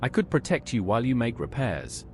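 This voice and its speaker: A synthetic man's voice playing a steady, helpful adventurer in fantasy video game dialogue. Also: mid-range voice, brisk, soft background music, close to an everyday voice. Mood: calm, inquiring.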